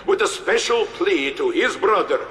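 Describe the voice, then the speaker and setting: A man's voice: high voice, lively intonation, unhurried, a bit bright. A man playing a stern, self-important official giving a formal, declamatory public address in a video game. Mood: solemn, triumphant. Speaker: press conference speaker. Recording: echoey public-address hall.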